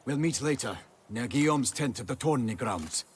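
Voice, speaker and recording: Guy doing polite ceremonious knight from a game, middle pitch, old-timey wording, bit of noise. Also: lively intonation, normal speed, lightly acted. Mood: courteous, decisive. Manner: ceremonious archaic phrasing. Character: gallant, courteous, formal male knight. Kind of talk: video game cutscene acting.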